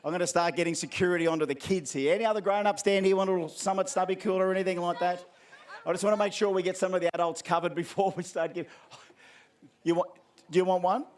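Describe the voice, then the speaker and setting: An Australian man acting as MC at an outdoor event, handing out prizes with playful, teasing crowd banter. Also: sits high.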